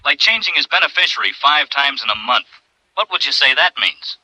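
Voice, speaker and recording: A man's voice: mid-range voice, lively intonation, a little fast, sharp tone, dry recording, low-quality recording. An American man playing a worried, blunt insurance executive in a 1950s radio drama, talking fretfully and emphatically. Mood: worried, pressing.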